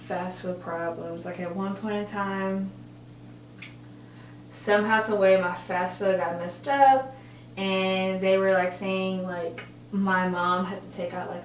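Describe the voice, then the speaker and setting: A woman in her twenties chatting casually while doing her makeup, rambling and correcting herself, with lots of filler words. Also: medium pitch. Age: 20s. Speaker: college student vlogger. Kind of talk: casual get-ready-with-me chat. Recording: roomy echo, distant mic, faint hum.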